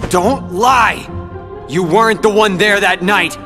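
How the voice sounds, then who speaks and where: An actor voicing a brooding teenage rival who speaks coldly and accusingly. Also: male voice, sits high, takes it slowly, long reverb tail. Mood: hostile. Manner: cold, accusing. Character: brooding silver-haired teen rival, cold and bitter. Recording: game cutscene audio with music.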